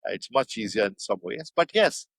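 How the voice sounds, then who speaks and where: Older Indian-accented man, lowish voice, dry close sound, reflective guest. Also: sing-song, fairly bright tone, husky, no echo. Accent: Indian English. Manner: measured, thoughtful, frequent fillers and restarts. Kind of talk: reflective interview answers.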